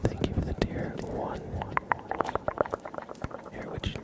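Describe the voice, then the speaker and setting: A man hosting an ASMR livestream, speaking softly and casually to viewers in a gentle, rambling, hesitant way. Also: slow pace. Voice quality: soft, breathy whispering with creaky low undertone. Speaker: livestream host.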